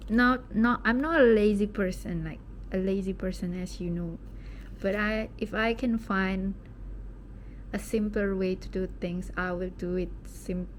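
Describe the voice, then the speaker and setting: A woman's voice: medium pitch, a little hiss or hum. A young woman from Indonesia with an Indonesian accent tinged with British English, chatting casually at home at night as she unboxes something, rambling and sometimes dropping to a whisper. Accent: Indonesian, with some British English. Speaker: vlogger.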